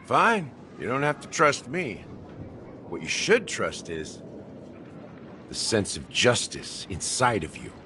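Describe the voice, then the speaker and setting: An actor playing a smug, playful young schemer in a game, teasing and theatrical. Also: male voice, medium pitch, wildly swooping pitch, slow pace, pauses often, background noise. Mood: persuasive. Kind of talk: scripted game voice acting.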